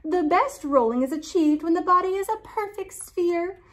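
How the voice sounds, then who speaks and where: Woman as a high-pitched, fussy storybook hedgehog. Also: expressive, moderate pace. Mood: bossy. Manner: prim, precise, bossy. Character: fussy, superior hedgehog giving instructions.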